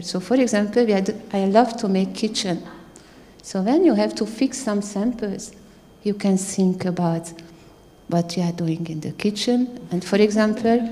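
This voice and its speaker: A woman in her fifties with a low voice, a scientist speaking earnestly on a panel with slightly halting phrasing, holding a handheld mic. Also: warm tone, long reverb tail. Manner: earnest, proud, halting non-native phrasing. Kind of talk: panel discussion. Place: theater stage at a panel event.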